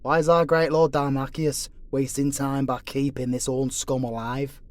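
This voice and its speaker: A man's voice: medium pitch, little pitch movement, normal speed, lightly acted. A man playing a dutiful, prejudiced angel dungeon guard with a formal, sanctimonious manner, in a fantasy audio roleplay. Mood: scornful, pious.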